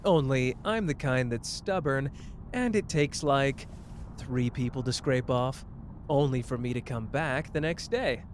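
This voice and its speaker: A man's voice: mid-range voice, a little slow, slight background noise, close to an everyday voice. A man playing a cocky, caring young sorcerer in a roleplay ASMR drama, teasing and tender as the listener's partner. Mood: playful teasing.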